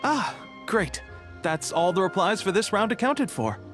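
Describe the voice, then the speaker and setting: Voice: mid-range voice, very animated, measured pace. An actor playing a polite, slightly flustered publishing house staffer in a video game, speaking hesitantly. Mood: grateful, flustered. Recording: background music under the voice.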